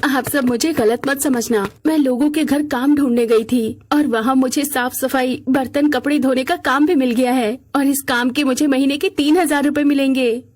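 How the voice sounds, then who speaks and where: A humble young housemaid and mother acted by a woman narrator in a cartoon moral tale. Her voice is high, and she speaks earnestly and with deference. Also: fairly even intonation, normal speed, hardly any pauses, a little mellow. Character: humble, dutiful poor young mother working as maid. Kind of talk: cartoon moral story acting.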